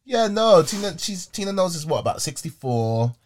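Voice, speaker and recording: A man's voice: high voice, very animated, a little fast, noisy background. A man with a British accent chatting casually as a podcast co-host, often repeating words for emphasis. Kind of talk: casual chat.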